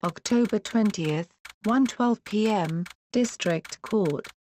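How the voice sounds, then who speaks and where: A woman reading scene captions as a plain, measured announcer in a video game fandub. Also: medium pitch, dark tone, a little hiss or hum, close to an everyday voice. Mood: neutral. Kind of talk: scripted narration in a game fandub.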